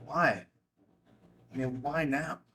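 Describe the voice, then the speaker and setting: A dazed, earnest man in a film drama , acted by a man at a table read. His voice is medium in pitch and he speaks slowly. His phrasing is hesitant and searching, often broken. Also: pushed and bright. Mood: bewildered.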